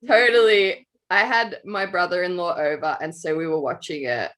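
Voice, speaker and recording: A woman's voice: on the low side, normal speed, a bit bright. A young adult woman, co-hosting a writing podcast in casual chat, laughing often and telling stories with animation. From Australia. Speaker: podcast co-host.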